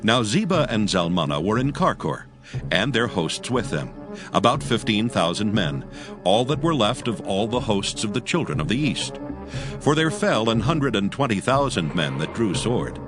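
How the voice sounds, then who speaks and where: A man with a lowish, expressive voice narrates ancient scripture. His delivery is solemn and formal, in the manner of an old storyteller, with music playing clearly behind him. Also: normal speed. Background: clear background music.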